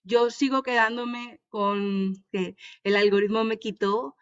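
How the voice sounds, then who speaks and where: Clear-voiced woman with a Madrid accent. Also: a little high, fairly even intonation, takes it slowly, a bit bright. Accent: Madrid Spanish. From Madrid, Spain. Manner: thoughtful, hesitant, searches for words. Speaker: seminar participant. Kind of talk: academic discussion on a video call. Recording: muffled video call audio.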